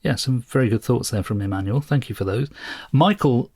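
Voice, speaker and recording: A man co-hosting a podcast in a casual, measured and thoughtful chatting style. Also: mid-range voice, darkish tone, slightly rough, no echo, clean studio sound. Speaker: podcast co-host.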